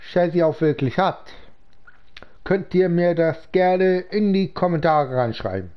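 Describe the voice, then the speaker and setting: Guy with a bit of a cold doing casual game commentary, slow and halting, kinda high voice. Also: lively intonation, darkish tone, clean tone. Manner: slow, halting talk with many pauses and fillers. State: slightly sick with a cold. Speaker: gaming channel host.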